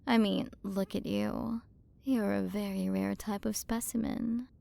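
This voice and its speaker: Woman playing a slow, creaky, haughty alien queen. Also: mid-range voice, steady tone, mellow. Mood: appraising, playful. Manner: condescending, flirtatious, drawn-out delivery with breathy drops into whispers. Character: haughty, teasing, possessive alien galaxy queen. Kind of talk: ASMR roleplay, scripted character acting.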